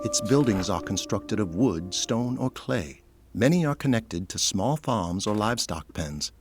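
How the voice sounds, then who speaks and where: Casting: male narrator for audio drama, deep voice, scripted scene-setting read. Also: expressive, measured pace, breathy edge.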